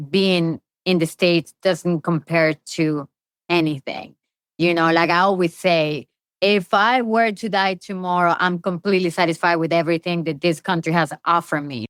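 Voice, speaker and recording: A woman's voice: lowish voice, clean tone, no room sound. Middle-aged Venezuelan woman, close dry sound. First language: Spanish. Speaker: podcast guest. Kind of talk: casual interview conversation.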